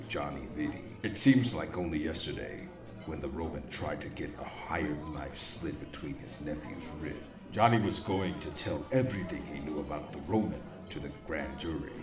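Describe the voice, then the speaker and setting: A man performs a brooding young man of wealth, narrating a comic book crime drama. The voice sits low and delivers terse, solemn, measured phrases. Music plays softly underneath. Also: roomy sound.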